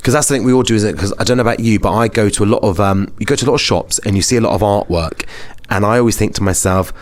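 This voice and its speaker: A British man with a fairly low voice hosts a casual podcast. He talks informally and chattily, leaning on his words for emphasis. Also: rapid-fire. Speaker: podcast host.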